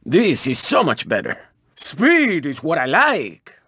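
A man's voice: fairly high pitch, wildly swooping pitch, slow pace, bright, forceful tone, breathy edge, no echo, studio quality, full character voice. A man voicing a cocky, flamboyant race car in a video game, with a Spanish accent and a boastful, dramatic manner. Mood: boastful, gleeful. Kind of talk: theatrical video game character barks.

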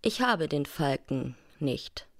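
A calculating femme fatale in a radio crime play, lowish voice, guarded. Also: female voice, big pitch swings, slow pace, heavily acted. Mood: cool, businesslike. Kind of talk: German radio crime drama acting.

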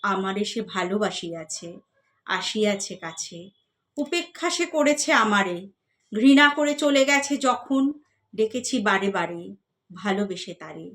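A woman reciting poetry expressively, in measured phrases that fall softly at the line endings. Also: mid-range voice, animated.

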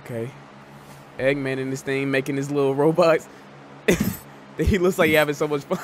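A young adult man giving casual, excitable reaction commentary in a jumpy, slangy, joking and rambling way, close on the microphone. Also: fairly high pitch, normal speed, slight background noise. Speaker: reaction video host. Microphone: close microphone at a desk. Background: music from the watched videos.

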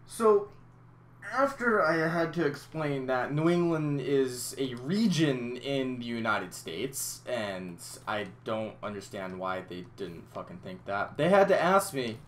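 Young man swearing through a rambling story. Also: mid-range voice. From Massachusetts. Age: young adult. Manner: rambling, frequent swearing and restarts. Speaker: gaming YouTuber. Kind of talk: casual storytelling over gameplay. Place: small room indoors. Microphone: headset.

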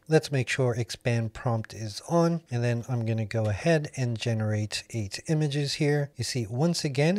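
A man in his thirties whose first language is Filipino, giving an upbeat, friendly software tutorial walkthrough. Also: mid-range voice, no echo. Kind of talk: screen-recorded software tutorial.

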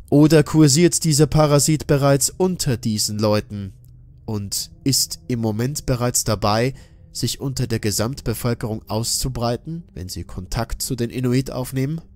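A man narrating a scripted horror audiobook in a solemn, measured, ominous manner. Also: medium pitch, a little mellow.

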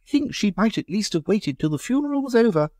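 A man's voice: high-pitched, wildly swooping pitch, normal speed, a little mellow, no room sound, studio quality, voice pushed up. A man voicing a prim, anxious genteel young wife in a reading of a story. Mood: fretful.

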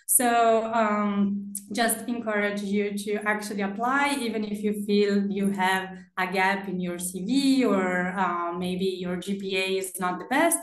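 A woman's voice: mid-range voice, measured pace, few pauses. A young woman from Argentina raised in Brazil, a recent graduate speaking encouragingly in a webinar over a laptop call. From Argentina, grew up in Brazil. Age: young adult. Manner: warm, encouraging, with fillers. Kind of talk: webinar talk. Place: small office indoors. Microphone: laptop on video call. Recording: echoey room on a video call.

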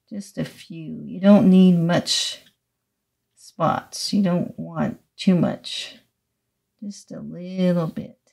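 A middle-aged woman teaching watercolor step by step, speaking haltingly as she thinks aloud while painting. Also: fairly low pitch, vocal fry, warm tone. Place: indoors, small studio room at a table.

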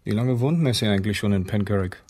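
Low, husky, blunt lighthouse keeper. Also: male voice, fast, a little mellow. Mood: scornful. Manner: blunt, disdainful. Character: blunt, scornful male lighthouse keeper, ex-navy. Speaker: actor in an audio drama. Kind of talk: German crime radio play acting.

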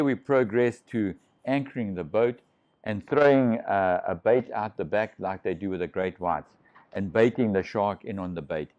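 A man's voice: on the low side, darkish tone, lo-fi sound. A middle-aged man, a shark diving pioneer, telling long rambling stories over drinks on a living room sofa, full of restarts. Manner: rambling, restarts, swears freely. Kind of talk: casual storytelling over drinks.

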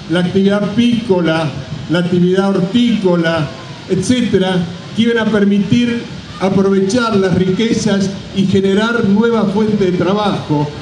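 A middle-aged man gives a measured, deliberate public speech as a company representative at an outdoor podium. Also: high voice. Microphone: microphone on a stand at a podium. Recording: roomy distant PA microphone, background noise.

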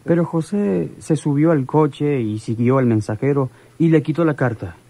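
Mellow, deferential male henchman. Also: a little high, moderate pace, no echo. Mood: deferential, explaining. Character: deferential male henchman reporting to his boss. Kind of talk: radio drama acting.

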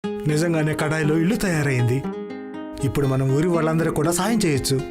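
A man's voice: fairly high pitch, a little fast, over music, natural voice. A man voicing an honest, helpful village husband in a moral story, speaking in a polite, earnest way. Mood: hopeful.

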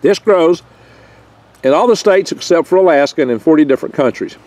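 A man's voice: a little high, lively intonation, fairly quick, darkish tone, dry recording. Folksy older man rambling outdoors. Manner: rambling, folksy, chatty with small jokes and stumbles. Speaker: foraging channel host. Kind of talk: casual outdoor foraging vlog. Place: outdoors in a garden among pine trees. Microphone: handheld camera mic held close.

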